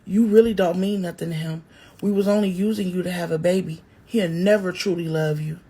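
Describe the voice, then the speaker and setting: A woman's voice: lowish voice, steady tone, a little fast, warm tone, breathy edge. A woman voicing a drunk, furious young ex-wife who screams and taunts. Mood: taunting.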